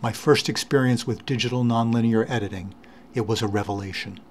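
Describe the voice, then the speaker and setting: A man's voice: medium pitch, fairly even intonation, a little fast, slight background noise. A man giving a spoken introduction as the creator of an audio collage.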